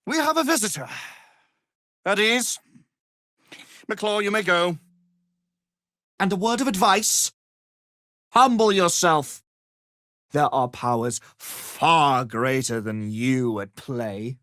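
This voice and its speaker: Arrogant wizard villain for a fantasy game, slow n theatrical, pitch all over, really dry sound. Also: male voice, expressive, fairly bright tone, dry recording. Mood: smug, threatening. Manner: haughty, theatrical, condescending. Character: arrogant, grandiose, power-hungry male wizard villain. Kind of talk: dramatic fantasy video game acting.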